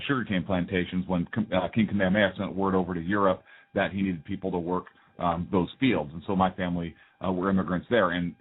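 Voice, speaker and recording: A man's voice: low voice, husky. A man co-hosting a casual talk radio show, speaking earnestly and rambling with many restarts. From United States. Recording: phone-like lo-fi radio audio.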